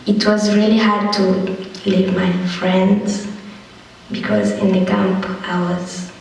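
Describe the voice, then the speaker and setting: A young refugee reading a prepared account and a poem in a slow, steady way. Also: mid-range voice, slight background noise. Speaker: young refugee telling own story. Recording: distant voice in a reverberant hall.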